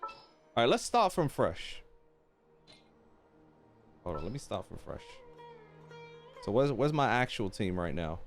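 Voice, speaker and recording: A man talks casually while streaming a game, his intonation lively and wide-ranging. He thinks aloud and keeps turning to his viewers with questions. Faint game music plays behind him. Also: on the low side, unhurried, long pauses.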